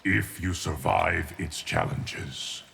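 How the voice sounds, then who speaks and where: Game warrior-sage: low, creaky gravel, solemn, cryptic, measured delivery, faint noise behind. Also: male voice, bright, forceful tone, full character voice. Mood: solemn, stoic. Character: solemn, ancient male guardian of time, stoic warrior. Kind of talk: video game character acting.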